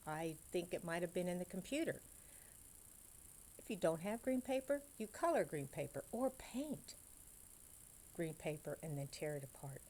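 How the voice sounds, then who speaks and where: A woman, a school librarian, reading aloud and chatting to young children about crafts in simple, direct, unhurried words. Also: lowish voice, big pitch swings, darkish tone.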